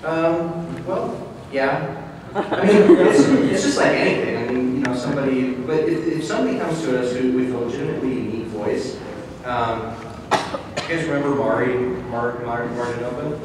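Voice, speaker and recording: A man's voice: medium pitch, normal speed, a loud crowd. Man telling stories in a very echoey hall. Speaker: audio drama producer on a panel. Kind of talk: casual anecdotes at convention Q&A. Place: large indoor convention room. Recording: very echoey hall, distant mic, noisy.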